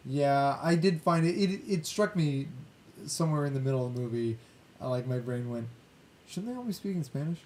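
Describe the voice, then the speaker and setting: Man on a noisy, rumbly podcast recording. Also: lively intonation, fairly quick, darkish tone. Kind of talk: casual film discussion. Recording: clear background noise with low rumble.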